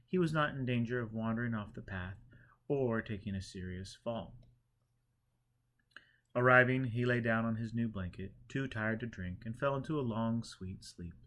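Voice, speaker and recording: A male audiobook reader with a medium-pitched voice. He delivers scripted story narration. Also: bright, forceful tone.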